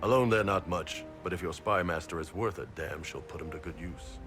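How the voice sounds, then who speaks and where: An actor playing a big horned Qunari mercenary boss in a video game, blunt, informal and jovial. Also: male voice, low voice, brisk, close to an everyday voice. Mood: frank, serious. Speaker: mercenary captain and self-declared spy. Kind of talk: video game character dialogue. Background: game music.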